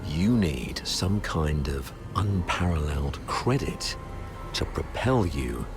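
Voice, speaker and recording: An actor playing a grave, composed military commander who bargains in a deliberate, measured way, in a dramatic game cutscene. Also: male voice, very deep voice, big pitch swings, music in the background, heavily acted.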